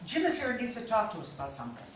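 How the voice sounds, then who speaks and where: A woman acting in a staged reading as an anxious, practical, protective wife, expressive and insistent. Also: a little high, rapid-fire. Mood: brisk. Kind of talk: staged play reading, naturalistic. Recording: very echoey room, distant mic, faint hiss.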